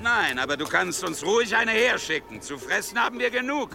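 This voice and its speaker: A man's voice: high-pitched, lively intonation, a little fast, vocal fry. A man playing a coarse, mocking medieval crossbow guard in a radio drama, sneering and vulgar. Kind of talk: German radio drama acting.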